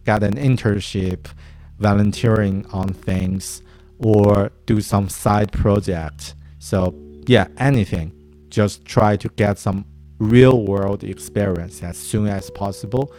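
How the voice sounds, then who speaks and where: Slow, halting man, Chinese native speaker. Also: fairly low pitch, mellow, soft background music. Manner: slow, halting, with restarts and fillers. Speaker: design mentor. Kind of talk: advice talk to camera.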